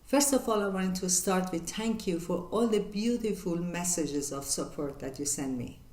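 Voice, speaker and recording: An older woman from northern Iran with a Persian accent, hosting her channel and answering viewer questions in an earnest, measured way with many pauses. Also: on the low side, darkish tone, roomy sound. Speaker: channel host. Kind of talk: reflective Q&A monologue.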